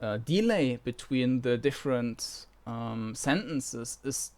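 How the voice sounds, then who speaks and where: A man giving casual commentary on a game preview, with halting, hesitant phrasing. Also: medium pitch, lively intonation, a little mellow.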